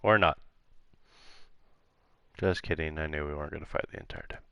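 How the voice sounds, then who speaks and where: Low-voiced man doing wry game commentary. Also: a little fast, vocal fry, sharp tone, dull audio. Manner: sarcastic, wry asides. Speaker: let's play gamer. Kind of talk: casual gameplay commentary. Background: video game music.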